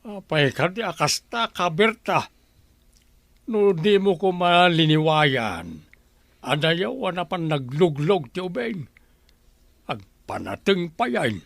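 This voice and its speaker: A creaky, animated old uncle in an Ilocano radio drama. He grumbles in a fatherly way with an Ilocano accent, recorded close and dry. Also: high-pitched, slow, no echo, full character voice. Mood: scolding, fatherly. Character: kindly, complaining old uncle with aching body.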